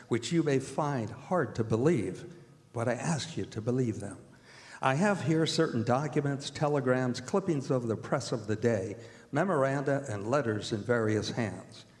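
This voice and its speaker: In a big reverberant hall, a man acts out a solemn gentleman of radio drama giving earnest testimony. His delivery is formal and deliberate, at a middling pitch. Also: natural voice. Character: Arthur Seward, grave earnest gentleman bearing witness. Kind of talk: stage radio drama narration. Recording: reverberant hall, live stage recording.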